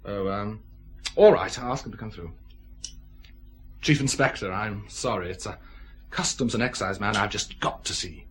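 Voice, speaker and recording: An actor playing a genial, proud boss of a small firm, chatty and expansive in an audio drama. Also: male voice, on the low side, moderate pace, long pauses, fairly bright tone, natural voice. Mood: obliging. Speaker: actor in a radio detective drama.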